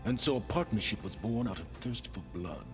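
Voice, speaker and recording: Low-voiced, arrogant scheming man played by an actor. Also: animated, close to an everyday voice. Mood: calculating, proud. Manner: measured, formal, condescending. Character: cold, arrogant, scheming older man, calculating and condescending. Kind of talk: video game dialogue acting. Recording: music behind the voice.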